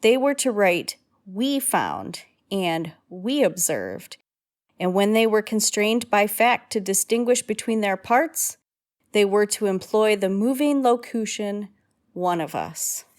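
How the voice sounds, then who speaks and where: A woman narrates a vintage-style radio drama. Her voice is medium in pitch. She reads scripted prose in a measured, literary way, with an earnest tone. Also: lively intonation.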